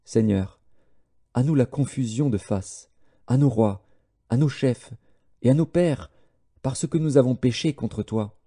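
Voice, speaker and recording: Very dark-voiced male scripture narrator. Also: medium pitch, a little slow, pauses often. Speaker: audiobook narrator.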